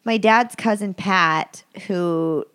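A woman's voice: medium pitch, takes it slowly, a bit bright, smooth. A woman talking casually as a podcast guest, rambling with many fillers and restarts, and joking at her own expense. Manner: rambling, self-deprecating, lots of fillers and restarts. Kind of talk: casual chat.

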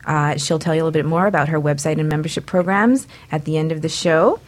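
A woman with a fairly low voice hosts a talk show. She moves between introductions and banter in a playful way. Her manner is flirty and teasing. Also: fast.

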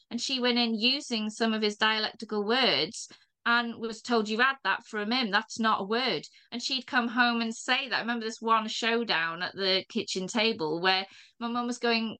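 Bright-voiced North Welsh woman. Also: a little high, clear, resonant tone. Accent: North Wales. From Wales. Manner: thoughtful, laughs softly, many fillers. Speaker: podcast guest, writer. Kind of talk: reflective conversation.

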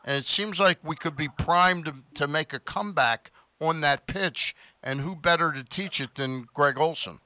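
A male sports talk host with a fairly high, bright voice interviews in a conversational way. His delivery is clean and smooth, recorded dry. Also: normal speed, few pauses, dry recording.

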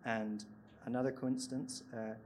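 A man's voice: medium pitch, flat delivery, moderate pace, a little mellow, a little hiss or hum. Scottish man telling his story on stage. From Scotland. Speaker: panel guest, screenwriter. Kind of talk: live panel discussion. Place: event stage with audience.

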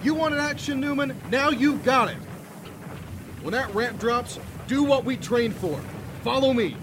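A man's voice: very high-pitched, measured pace. Man acting a tough Marine sergeant, battle noise behind. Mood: urgent, shouting. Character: tough battle-hardened male Marine sergeant barking orders. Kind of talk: radio war drama acting. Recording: noisy, gunfire and battle sounds behind.

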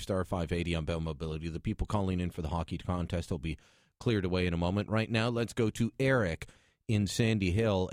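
Male talk radio host, lowish pitch, dry close mic, argumentative. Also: a little mellow, no echo. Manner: argumentative, pointed. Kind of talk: opinionated call-in radio talk.

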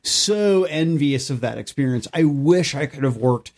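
Higher-voiced man, unhurried teasing banter. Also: lively intonation, a little mellow. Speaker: podcast regular. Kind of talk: casual bantering chat.

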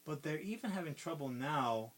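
A man's voice: on the high side, lively intonation, normal speed, a bit bright. A man co-hosting a podcast, casually retelling a movie plot in a rambling way, with constant swearing and lots of fillers. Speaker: podcast co-host.

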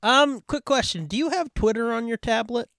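A man's voice: extremely high pitch, normal speed, creaky, fairly bright tone, dry recording, studio quality. A man co-hosting a podcast, talking casually about films and shows in a blunt, sweary way. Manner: blunt, profane. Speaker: podcast co-host.

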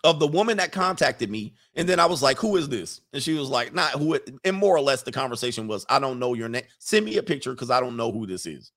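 Man, gravelly, lively up-and-down pitch, casual livestream host, reads chat, laughs a lot. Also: quick pace, few pauses. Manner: animated, laughs often, reads chat comments aloud.

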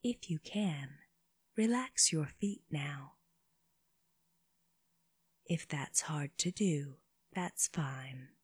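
Very slow, breathy woman guiding meditation. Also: deep voice, expressive, no room sound. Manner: gentle, unhurried, short phrases with long pauses. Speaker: meditation guide for children. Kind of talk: slow guided body scan meditation.

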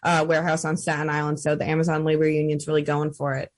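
A woman's voice: low voice, monotone, fast talker. A woman reporter, upbeat and enthusiastic, giving a casual news update on the radio.

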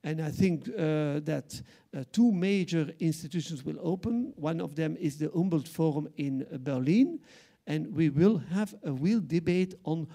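Middle-aged Flemish-accented man, arts director at a panel; mellow, gravelly voice, halting delivery. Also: on the high side. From Belgium. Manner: halting, many fillers and restarts. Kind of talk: panel discussion remarks.

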